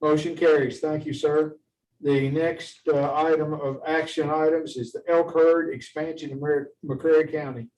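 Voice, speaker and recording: A man's voice: fairly high pitch, level tone, a little slow, a little mellow. A man acting as chairman, running a formal meeting with procedural questions and remarks. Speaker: commission chairman. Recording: distant mic, faint noise.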